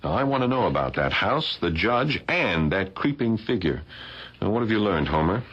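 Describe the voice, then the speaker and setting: A man's voice: lowish voice, big pitch swings, normal speed, a bit bright, breathy edge, heavily acted. A man playing a puzzled, insistent art professor in a vintage radio drama. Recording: dull, lo-fi old radio recording.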